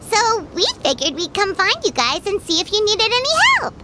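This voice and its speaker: A woman voicing a tiny, chatty, excitable fairy companion in a video game, with a squeaky, childlike voice. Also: normal speed, very noisy. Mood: cheerful. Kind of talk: animated video game voice acting.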